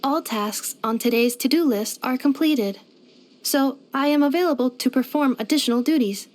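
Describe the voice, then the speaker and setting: A woman's voice: sits high, normal speed, darkish tone, close to an everyday voice. A woman voicing a polite, helpful robot maid companion in a video game, speaking in a formal, precise, earnest way. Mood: polite, attentive. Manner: formal, precise, clipped system-report phrasing. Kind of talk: scripted video game character voice lines.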